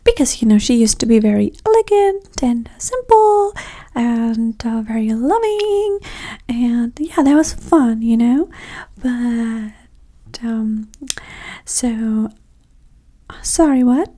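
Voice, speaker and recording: A woman doing a soft-spoken, often whispered ASMR roleplay as a vain, flirty date with a breathy, sassy, condescending manner. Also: fairly high pitch, expressive, slow.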